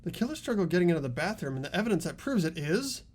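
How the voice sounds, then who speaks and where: A performer playing an earnest, argumentative high school student with animated questioning in a fan-voiced game. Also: rapid-fire. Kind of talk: fan voice acting of a video game script.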